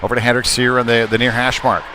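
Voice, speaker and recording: A man doing live play-by-play ice hockey commentary in short, halting, conversational phrases. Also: medium pitch, little pitch movement, bright, forceful tone. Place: indoor ice rink. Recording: noisy live arena stream, rink ambience. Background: clear rink noise and ambience.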